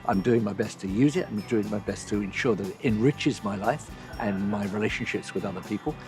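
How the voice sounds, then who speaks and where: A man's voice: on the low side, brisk, music in the background. A man, speaking earnestly and eloquently as the guide of a documentary series. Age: 70s. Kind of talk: reflective documentary interview.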